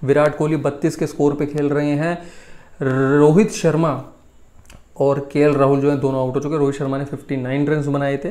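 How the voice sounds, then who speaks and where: A man's voice: a little high, warm tone. A man in his twenties from India with an Indian accent, hosting a casual, emphatic cricket news rundown in a conversational way, recorded in a small room at home. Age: 20s. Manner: conversational, emphatic, repeats key points for effect.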